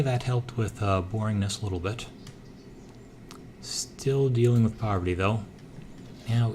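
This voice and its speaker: Hoarse man talking through a strategy game. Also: on the low side. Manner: easygoing, thinking aloud with many "uh"s. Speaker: let's-play gamer. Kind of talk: casual strategy game commentary.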